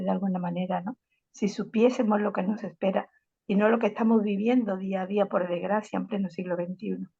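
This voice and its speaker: A woman's voice: mid-range voice, vocal fry, warm tone, husky, muffled recording. A woman sharing thoughts in a casual group discussion, hesitant, repeating words and often adding tag questions. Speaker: study group participant.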